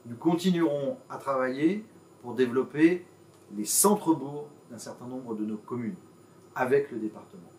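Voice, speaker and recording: A man gives a formal public address as a mayor, in measured, emphatic phrases broken by pauses and hesitations. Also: mid-range voice, darkish tone. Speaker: mayor and intercommunal president. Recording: roomy, distant microphone.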